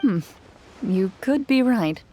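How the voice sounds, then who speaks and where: An actress playing a composed, reserved young champion duelist in a video game, speaking in a calm, measured, thoughtful way. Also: female voice, medium pitch, a little hiss or hum, poor audio. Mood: mildly amused.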